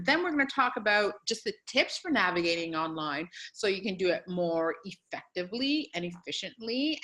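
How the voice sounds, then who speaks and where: A woman's voice: a little high, pushed and bright. A woman hosting a live educational webinar, upbeat and encouraging, with frequent fillers. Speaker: webinar host and program coordinator.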